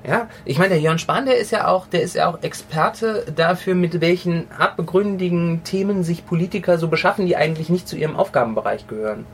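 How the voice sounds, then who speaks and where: A podcast co-host delivers dry, matter-of-fact quips in a casual comedy chat, with a voice of middling pitch. A faint layer of background noise runs under the recording. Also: fairly quick, few pauses.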